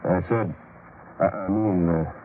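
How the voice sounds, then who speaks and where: Casting: dim, deferential watchman, lowish voice, hesitant muttering, old-time radio comedy-of-manners delivery, tinny sound. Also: steady tone, unhurried. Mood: flustered deference. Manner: hesitant, muttering, stumbling over words. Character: bumbling, deferential male town sentry. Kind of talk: 1940s radio drama acting. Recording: thin, hissy 1940s radio recording.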